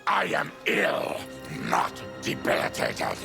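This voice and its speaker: An actor playing a diseased, bitter, fanged mutant warrior in a video game, with a guttural growl and grave, formal speech. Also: male voice, slow, lightly acted. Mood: indignant. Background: loud music.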